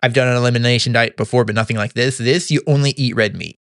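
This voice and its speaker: A young man talks through a casual podcast recap, upbeat and quick to laugh, often stopping and restarting his sentences. His voice is smooth and clear, with a slight gravel now and then, and it sits at a middle pitch. The recording is close and dry, with no room sound. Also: steady tone, fairly bright tone, no room sound. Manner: halting, many restarts and stammers. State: throat sometimes tight and slightly gravelly. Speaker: podcast host. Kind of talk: casual monthly recap monologue.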